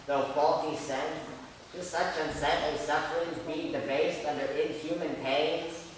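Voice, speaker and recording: A man's voice: a little high, fairly even intonation, a little slow, natural voice. Man playing solemn, grandiose verse speaker in echoing hall. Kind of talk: declaimed verse in a school radio drama. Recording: echoey hall, distant, faint noise.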